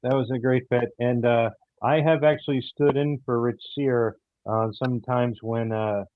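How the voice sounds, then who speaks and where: A man answering interview questions about a radio show, speaking in a halting way with repeated words. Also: mid-range voice, steady tone, dry recording. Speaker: audio theater director and producer, guest. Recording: muffled, phone-like, narrow-band line.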